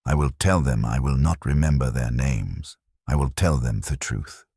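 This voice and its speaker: A man's voice: extremely low pitch, normal speed, a little mellow, breathy edge, dry recording, clean studio sound, full character voice. A man voicing an earnest, loyal young soldier in a narrated war story, solemn and insistent. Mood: solemn resolve.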